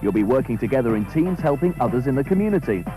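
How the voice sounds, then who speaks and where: A man's voice: medium pitch, sing-song, quick pace. Male appeal voice-over, medium register, scripted, prominent music bed. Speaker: announcement voice-over. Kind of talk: scripted public service appeal.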